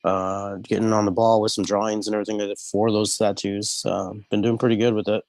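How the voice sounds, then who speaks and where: A laid-back man with a low voice chats on a video call, congested from a cold. His sound is close and dry, and he keeps tossing in "man" and "stuff like that". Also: flat delivery, fairly quick, darkish tone, no room sound. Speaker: host. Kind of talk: casual chat.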